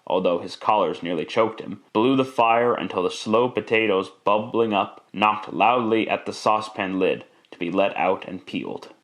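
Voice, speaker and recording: A man's voice: lowish voice, fairly bright tone. A man narrating a classic radio drama in a theatrical, emphatic storytelling style.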